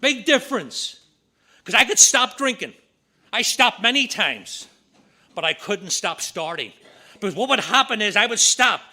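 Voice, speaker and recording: A middle-aged man with a Northern Irish accent, giving an earnest talk at a recovery meeting with quick asides. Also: sits high, big pitch swings, bright, forceful tone. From Northern Ireland. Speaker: AA speaker. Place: meeting room.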